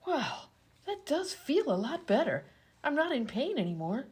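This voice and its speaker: A woman plays a long-suffering patient in a radio comedy. Her voice is high and very animated, with an incredulous, sardonic way of answering back. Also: moderate pace. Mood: exasperated. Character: wisecracking, exasperated female patient with a broken foot. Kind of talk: comedy radio-play acting.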